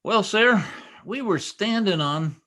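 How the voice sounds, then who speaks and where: A man's voice: high-pitched, expressive, slow pace, sharp tone, heavily acted. A man playing a boastful, bumbling, long-winded husband in folksy old-time radio comedy style. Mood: self-important. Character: blustering, long-winded, self-important husband, a tall-tale teller. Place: at home on a video call.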